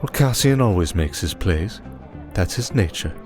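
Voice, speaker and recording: Man playing a very deep, silky vampire archduke. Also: expressive. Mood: measured, scheming. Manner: measured, formal, silky and condescending. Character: scheming aristocratic male vampire archduke, commanding, refined. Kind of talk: ASMR roleplay audio drama. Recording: music bed under the voice.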